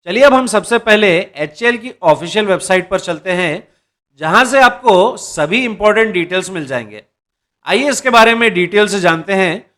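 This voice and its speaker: High-voiced man presenting job news. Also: animated, moderate pace, fairly bright tone. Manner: addresses viewers as "दर्शकों", occasional restarts. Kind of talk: explainer reading job details.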